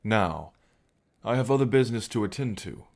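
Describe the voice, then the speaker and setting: A man's voice: fairly low pitch, lively intonation, normal speed, vocal fry. A man acting in a fantasy audio roleplay as a level-headed, amused god with a dry, sardonic delivery.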